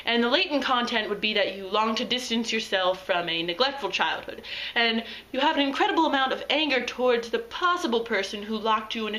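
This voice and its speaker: A woman's voice: a little high, bright, forceful tone. A woman playing a pompous, self-absorbed male psychoanalyst in an amateur comedy skit, clinical and easily flustered. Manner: clinical, self-important, flustered stammering when challenged. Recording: roomy echo, distant microphone.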